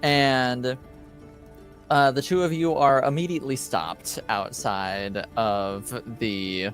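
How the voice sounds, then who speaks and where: Hesitant male game master over soft music. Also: mid-range voice, steady tone, slow. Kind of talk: casual narration of a tabletop game.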